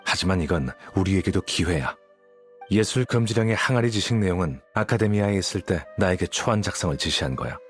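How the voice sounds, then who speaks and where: A man's voice: low voice, expressive, a little fast. A man playing a cool, calculating scholar in a video game, explaining things in a composed, matter-of-fact way. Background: background music.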